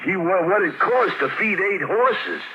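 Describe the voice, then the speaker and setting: A man's voice: high voice, animated, a little slow. An actor playing a dim but loyal gangster's sidekick in an old-time radio drama, hesitant and simple in his talk. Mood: deferential. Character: dim, loyal male sidekick, numbskull with numbers knack. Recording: muffled, noisy old radio recording.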